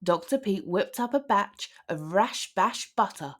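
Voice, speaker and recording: A woman narrating a children's picture book aloud as an expressive storyteller. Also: medium pitch, a little slow. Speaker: storybook reader.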